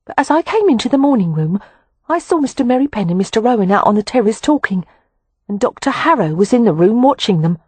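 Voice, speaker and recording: A trembling young housemaid, put on by an audiobook narrator, deferential and faltering, her voice climbing high as she speaks. The delivery swoops with lively pitch over a slightly husky tone. It is recorded close and dry, with no room sound. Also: fast talker, no room sound. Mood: nervous. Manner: faltering, hesitant. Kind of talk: audiobook character voice.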